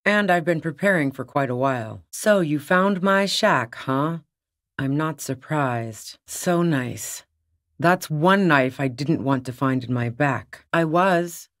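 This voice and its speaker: An actress playing a tough, wary, sardonic woman on the run in a video game, speaking bluntly. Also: deep voice. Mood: dry, taunting, then cool, wary. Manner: blunt, sardonic, foul-mouthed. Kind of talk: video game character dialogue.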